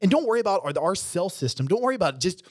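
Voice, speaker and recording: A man's voice: high-pitched, very animated, very fast, no echo. Podcast co-host guy, 40s, punchy pep talk, dry booth-like sound. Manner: emphatic, coaching pep talk. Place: gym set up as podcast studio. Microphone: studio mic on boom arm, headphones.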